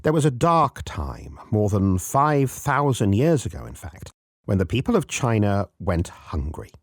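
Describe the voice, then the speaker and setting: A male storyteller with a fairly deep voice narrates a scripted tale. The delivery is theatrical, playful and highly animated, set over clear background music. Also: unhurried. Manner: theatrical, playful, addresses listener directly.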